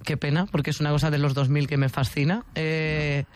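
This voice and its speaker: A woman's voice: low-pitched, level tone, brisk, darkish tone, a little hiss or hum. A woman with an accent from Spain hosting a casual, informal interview, feeling her way through her phrasing. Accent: Spanish from Spain. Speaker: talk show host.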